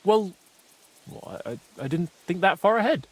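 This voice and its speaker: A naive young apprentice from a medieval video game. His voice is high and husky, with lively swings of pitch. He talks artlessly and falters when pressed. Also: moderate pace. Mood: sheepish. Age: young adult. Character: naive, simple-hearted young craftsman's apprentice. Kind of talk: video game dialogue acting.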